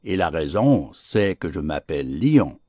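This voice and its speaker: A man's voice: low voice, very animated, a little slow, warm tone, no room sound, clean studio sound, heavily acted. A man voicing a proud, bullying lion lord in a fable, pompous and threatening in manner. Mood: imperious, threatening. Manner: imperious, pompous.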